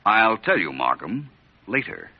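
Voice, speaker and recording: A man playing a shrewd, unruffled gentleman detective in a 1940s radio drama, speaking in a measured, polite, coolly accusing way. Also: on the low side, very animated, creaky, loud music behind the voice, exaggerated voice. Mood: calm explaining. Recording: muffled old-time radio recording.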